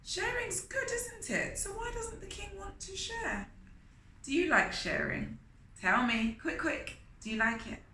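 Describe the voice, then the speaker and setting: A woman reading a story aloud to young children, expressive and often putting questions to her listeners. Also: very high voice, unhurried. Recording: roomy echo with faint noise.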